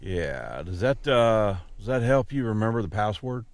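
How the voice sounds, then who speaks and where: A man plays a fed-up male support agent in a comedy sketch. His fairly low voice rises and drops freely as he grudgingly, sarcastically stumbles through the call. It is recorded dry and close. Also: sing-song, moderate pace, dry recording. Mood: grudging, unimpressed.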